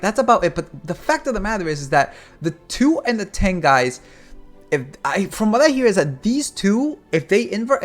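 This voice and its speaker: A young man from Cuba hosts a finance channel, talking loosely and rambling as he turns to his viewers. His pitch rises and falls a lot as he talks. Faint music plays under his voice. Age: 20s. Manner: rambling, animated, addresses viewers as guys. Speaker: finance YouTuber. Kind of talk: casual market commentary.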